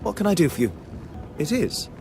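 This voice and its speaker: Earnest, animated gentleman, music behind. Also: high-pitched, brisk, vocal fry, full character voice. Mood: polite, flustered. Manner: earnest, polite, hesitant under questioning. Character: earnest, proper gentleman insurance investigator, easily flustered. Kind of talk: video game voice acting.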